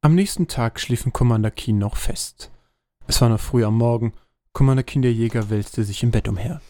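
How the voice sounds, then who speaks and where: A man's voice: mid-range voice, moderate pace, dark tone, soft background music. A man narrating a scripted comic fantasy audio drama as its storyteller.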